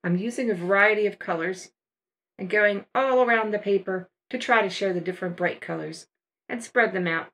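An older woman talks through her painting steps in a calm, unhurried voice-over. Her voice sits at a middle pitch. She explains as a patient art teacher. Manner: relaxed, unhurried step-by-step explaining.

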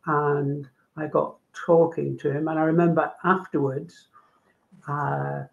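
Older Manchester man, halting and thoughtful. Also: a little high, level tone, gravelly. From England. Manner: halting, with many ums and repeats. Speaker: guest doctor. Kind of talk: casual video call interview. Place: home room. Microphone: video call. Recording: dull lo-fi video call audio.

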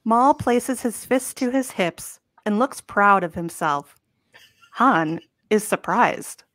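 A woman reads scripted stage directions for a comedy. Her pitch is medium and her pace slow, each phrase set out straight-faced. The sound is close and dry. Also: animated, a bit bright, no room sound. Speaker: narrator reading stage directions. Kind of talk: scripted narration in a comic table read.

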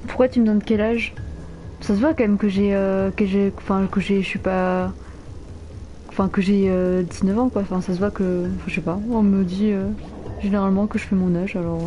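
A woman's voice: medium pitch, little pitch movement, normal speed, dark tone, faint music behind. A nineteen-year-old woman, chatting playfully with viewers while playing a game live, flustered and teasing. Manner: playful, bantering, easily flustered. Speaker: streamer. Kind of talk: live gameplay chat with viewers.

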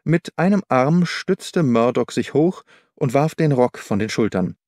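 A man's voice: medium pitch, expressive, a little slow, a little mellow, no room sound. A man reading scripted literary narration as an audiobook narrator in a studio. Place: dry studio.

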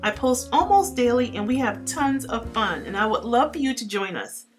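A woman's voice: fairly high pitch, sing-song, normal speed, soft background music. A woman vlogger chatting upbeat to her viewers in a voice-over tour. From Florida. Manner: chatty and upbeat, addressing viewers as you guys. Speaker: lifestyle vlogger.

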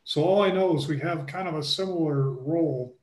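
A man's voice: fairly high pitch, brisk, darkish tone. A man in a group call tentatively describing someone he meets, with hesitant phrasing. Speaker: participant. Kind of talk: casual group discussion. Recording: roomy echo.

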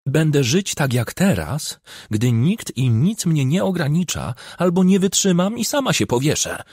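A man's voice: fairly high pitch, very animated, unhurried, darkish tone, husky, no room sound, clean studio sound, pitched above the performer's own voice, exaggerated voice. A man voicing a troubled, discontented young woman with a complaining, pleading manner in an audiobook. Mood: exasperated, desperate.

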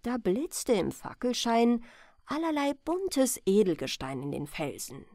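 A woman's voice: on the high side, very animated, unhurried, mellow, no room sound, studio quality. A woman reading a bedtime fairy tale as a scripted narration.

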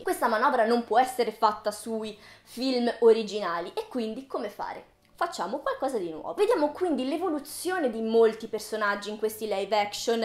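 A woman in her early twenties talking casually to her viewers in a video essay, with playful, ironic asides. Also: on the high side. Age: early 20s. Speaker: video creator, host. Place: small room with bookshelves, indoors. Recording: roomy indoor echo.

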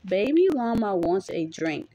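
A woman's voice: mid-range voice, very animated, a little slow, dark tone, a little hiss or hum. A mother reading a picture book aloud to her young child in a playful, sing-song way, chatting with the child as she goes. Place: small dim bedroom at home.

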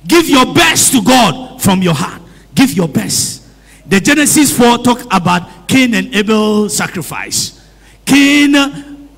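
Priest preaching in a reverberant hall. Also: male voice, sits high, gravelly, fairly bright tone, slightly rough. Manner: preaches in English and Twi, calls for amens; repeats phrases and asks the congregation questions. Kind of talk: sermon. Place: church hall.

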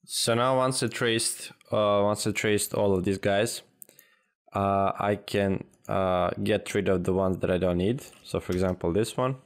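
A man with a very deep, gravelly voice walks through a software tutorial at an unhurried pace. He thinks aloud as he works, often pausing with "uh" and restarting his sentences. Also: steady tone. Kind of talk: screen-recorded software tutorial. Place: at a computer, voice-over of screen capture.

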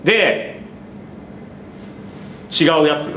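A man's voice: very slow, pushed and bright. A man in his thirties hosting a casual game show in a playful, teasing way. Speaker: quiz master on a web variety show. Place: small indoor studio, close to camera. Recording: noisy, echoey low-quality live webcast.

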